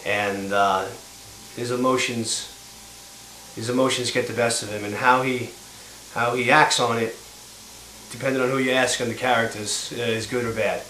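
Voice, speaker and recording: A man from Long Island with an American accent, an actor talking about his role in a casual, halting way. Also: mid-range voice, fairly even intonation, pushed and bright. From Long Island, New York, USA. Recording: roomy with faint noise.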